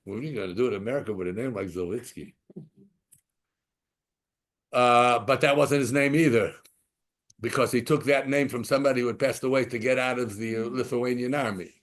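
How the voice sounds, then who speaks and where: A man's voice: medium pitch, brisk, long pauses. A man giving an informal Torah class, asking rhetorical questions and mixing in Hebrew terms as he drifts into side stories. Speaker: Torah teacher.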